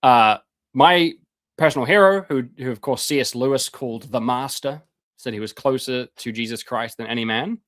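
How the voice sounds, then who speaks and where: A man on a live stream reading Bible passages aloud and commenting on them, with casual asides and his voice going hoarse. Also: moderate pace, fairly bright tone. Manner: reads scripture aloud then comments, rises in pitch when fervent; casual asides, repeats words, clears throat. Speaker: streamer teaching on scripture.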